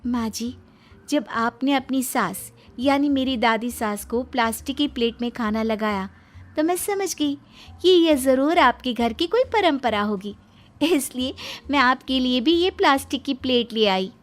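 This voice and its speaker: Woman voicing a sweet naive young daughter-in-law, high-pitched. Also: animated, unhurried. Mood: innocent, earnest. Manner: naive, respectful, earnest.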